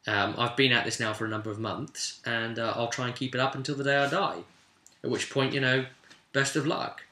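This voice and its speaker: A man's voice: medium pitch, little pitch movement, brisk, bright, forceful tone, close to an everyday voice. A man voicing an earnest, burdened mage who protects his town, explaining himself in a pleading way in a tabletop game retelling. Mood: earnest, resigned. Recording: roomy, echoing sound.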